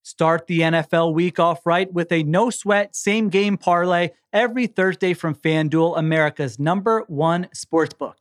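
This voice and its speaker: Male sports podcaster, slightly high voice, casual host read. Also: moderate pace, hardly any pauses.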